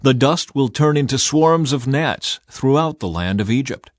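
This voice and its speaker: A man's voice: mid-range voice, animated, normal speed, dry recording, clean studio sound, close to an everyday voice. A man voicing a solemn, commanding God in a scripture audiobook. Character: commanding, solemn male God issuing decrees.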